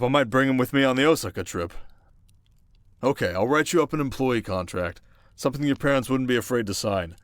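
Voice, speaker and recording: A man playing a stern, demanding middle-aged rich businessman father in an audio roleplay, speaking in a measured, formal, commanding way. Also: medium pitch, dry recording, natural voice.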